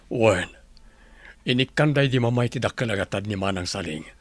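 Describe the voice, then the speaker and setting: Man acting a gentle father, close dry sound. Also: on the low side, normal speed, dry recording, close to an everyday voice. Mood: tender, solemn. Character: gentle, reassuring father and husband in a village family. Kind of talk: Ilocano radio drama acting.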